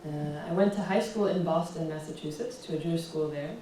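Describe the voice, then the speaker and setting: A young American woman giving a relaxed public talk with slides, often pausing with "um". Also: low voice. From United States. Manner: relaxed, fills pauses with ums. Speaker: guest speaker. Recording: roomy echo, distant, faint hiss.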